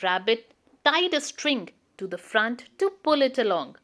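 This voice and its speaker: A woman storyteller narrating a children's book aloud in a deliberate, expressive way. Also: a little high.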